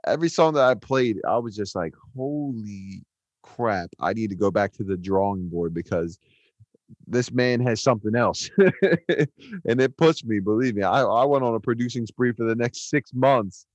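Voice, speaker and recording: Low-ish guy co-host, excited, lots of um and like, dead dry sound. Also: normal speed, no echo. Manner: enthusiastic, filler-heavy. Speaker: podcast co-host, songwriter and producer. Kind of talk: casual chat.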